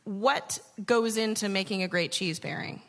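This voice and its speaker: A woman hosting a conversational live interview, asking questions in a tentative, halting way. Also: medium pitch, a bit bright. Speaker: radio show host interviewing. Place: large hall, live radio show. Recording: reverberant live hall.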